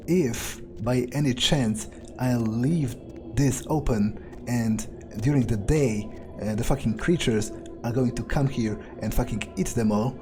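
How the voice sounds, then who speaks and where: Casting: a man as weary, sardonic male vampire in a video game, mid-pitched, unhurried, halting, swearing self-talk. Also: lightly acted. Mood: wry. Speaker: player roleplaying a video game character. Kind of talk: in-character improvised gameplay monologue.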